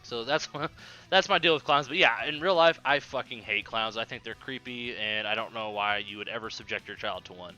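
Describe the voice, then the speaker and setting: A man's voice: medium pitch, normal speed, pushed and bright, faint music behind, rough recording. A young man hosting a casual podcast, answering questions in a slangy, rambling way with swearing and a slight occasional stutter. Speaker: podcast host.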